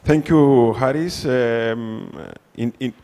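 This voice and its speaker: A man with a Cypriot Greek accent moderating a conference panel discussion, speaking haltingly with many filler sounds. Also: medium pitch, dark tone. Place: conference hall.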